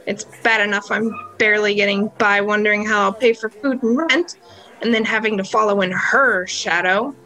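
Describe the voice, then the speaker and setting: A woman's voice: on the high side, pushed and bright, rough recording. A woman playing a frustrated, struggling nightclub singer in an amateur radio play. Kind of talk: hobby radio play acting.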